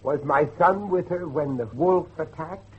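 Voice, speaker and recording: An actor playing an anguished middle-aged father and judge, intense and halting, in a horror audio drama. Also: fairly high pitch, slightly rough. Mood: demanding, bitter. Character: anguished older father, a judge hunting his lost son. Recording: muffled lo-fi recording with faint noise.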